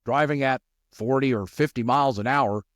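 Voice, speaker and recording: A man's voice: medium pitch, normal speed, no echo, studio quality. A man narrating a story from a script as an audiobook storyteller.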